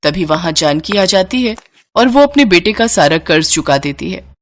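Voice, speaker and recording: A woman narrating a scripted moral story in an expressive storyteller's style. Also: on the low side, normal speed, raised voice, very noisy.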